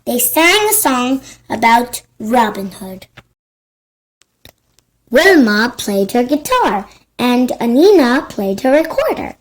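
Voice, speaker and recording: A woman narrating a children's storybook in a simple, deliberate read-aloud style. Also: on the high side, very animated.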